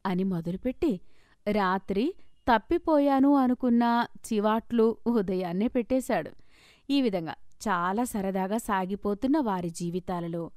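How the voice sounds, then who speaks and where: A woman's voice: mid-range voice, measured pace, a little mellow, no room sound, clean studio sound. A woman narrating a scripted moral story as its storyteller.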